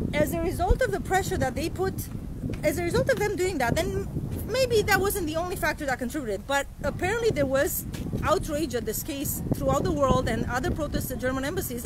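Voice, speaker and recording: A woman in her forties, a native Romanian speaker, talking earnestly into a phone held at arm's length as she walks outdoors, rambling with many restarts. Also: high voice. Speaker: vlogger. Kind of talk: casual livestream talk. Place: outdoors by a street, walking. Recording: loud street noise.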